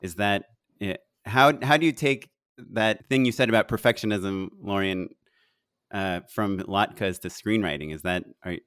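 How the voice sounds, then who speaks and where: A man's voice: fairly low pitch, fairly bright tone, smooth, no echo, clean studio sound. A man with a nasal voice chatting casually and joking about himself as a podcast guest. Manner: joking, self-deprecating, halting restarts.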